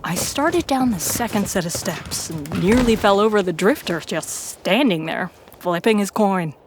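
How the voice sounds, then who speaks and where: A woman's voice: mid-range voice, animated, unhurried. Woman narrating over noticeable noise. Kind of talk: scripted first-person story narration. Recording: clear background noise and ambience.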